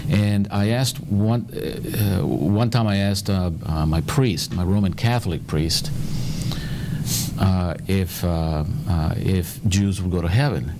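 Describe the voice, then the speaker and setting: Deep-voiced man. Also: very noisy. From Spain, raised in Cuba. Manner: halting, many fillers and restarts. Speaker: guest. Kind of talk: personal chat on a TV talk show.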